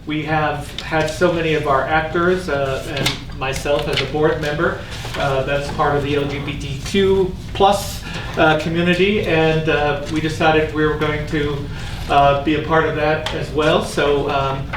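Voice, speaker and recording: A man giving a halting, casual announcement as a board member. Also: on the high side, fairly even intonation. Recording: echoey room, distant mic, clear noise.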